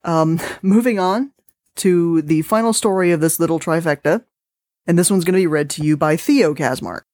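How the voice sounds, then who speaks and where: A woman's voice: lowish voice, moderate pace, darkish tone, no room sound, studio quality. A woman hosting a podcast, chatting casually and informally between pieces. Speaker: podcast host. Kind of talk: casual chat between stories.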